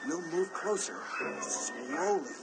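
An actor playing a steady young man who leads others calmly through a swamp. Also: a little high, takes it slowly, heavy background noise. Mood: reassuring. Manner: calm, commanding.

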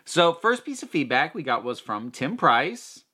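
Bright guy cohosting a podcast, chatty, reads out listener mail. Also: on the high side, measured pace, breathy edge. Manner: relaxed, chatty, reads listener letters aloud. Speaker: podcast co-host. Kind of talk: casual chat, reading listener feedback.

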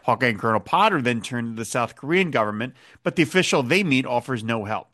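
A man hosts a podcast, moving between recapping a plot and musing aloud in a thoughtful, hesitant way. His voice sits at a middle pitch for a man. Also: lively intonation, fast, fairly bright tone. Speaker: podcast host. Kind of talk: episode recap and casual chat.

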